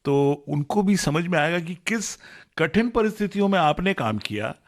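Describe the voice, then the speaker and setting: A man's voice: on the high side, animated, moderate pace. A man with an Indian accent conducting a conversational radio interview, addressing his guest politely and formally with some English words mixed in.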